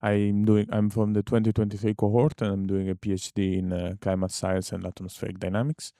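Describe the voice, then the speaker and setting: Young male student on a call; low, flat, dark voice. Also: brisk, no echo, clean studio sound. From Italy. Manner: brief, friendly self-introduction. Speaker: PhD student, panel guest.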